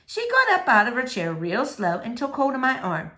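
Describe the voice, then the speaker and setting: A woman narrates a story for children. Her voice is on the high side. She reads very expressively, with wide swings in pitch from phrase to phrase. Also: wildly swooping pitch, normal speed, sharp tone.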